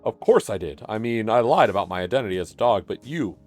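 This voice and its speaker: Man playing an exasperated dog politician, low voice. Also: animated, fast, a little mellow, faint music behind. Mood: earnest. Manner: indignant, complaining. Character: exasperated, earnest male dog politician running for president.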